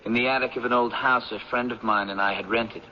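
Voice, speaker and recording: An actor playing a polite, earnest young anthropology professor in a radio drama, speaking tentatively and respectfully. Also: male voice, mid-range voice, steady tone, fast talker, bright, forceful tone, lightly acted. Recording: echoing, dull, noisy radio recording.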